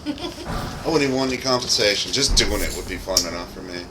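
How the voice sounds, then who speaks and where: A man's voice: lowish voice, sing-song, fairly quick, noisy background. A man talking casually as a game streamer while he plays. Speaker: game streamer and video creator. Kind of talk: casual livestream chat while playing.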